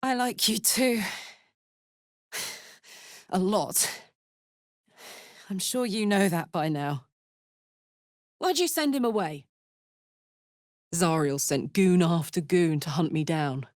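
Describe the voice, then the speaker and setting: Battle-hardened, big-hearted tiefling warrior woman for a video game; blunt, sweary, unhurried, dry studio sound. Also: lowish voice, expressive, no echo. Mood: playful, affectionate. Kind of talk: video game character voice lines.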